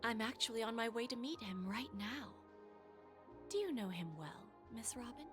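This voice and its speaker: A woman playing a composed, shrewd, elegant businesswoman in a video game, speaking in a measured, cryptic, teasing way. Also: fairly high pitch, animated, natural voice. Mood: calm, silky. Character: composed, shrewd, elegant older-sister businesswoman, coolly calculating. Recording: game audio with prominent background music.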